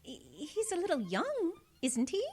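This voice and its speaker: A female performer playing a pampered, excitable queen in a comic radio drama, gushing and gasping in an affected way. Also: very high voice, full character voice. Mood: delighted. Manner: breathy gasps, gushing, affected, drawn-out words. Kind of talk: radio comedy audio drama acting.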